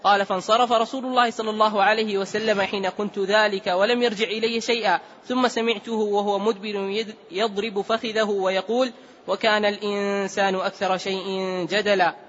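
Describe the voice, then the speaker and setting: High, even-voiced man reading aloud. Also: a little fast, lo-fi sound, slight background noise. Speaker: student reader. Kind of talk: reading religious text aloud in formal Arabic.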